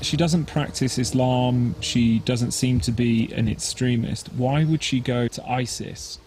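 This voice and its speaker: A man's voice: mid-range voice, fairly even intonation, a little slow, a little mellow, soft background music. A man interviewing with probing, skeptical questions.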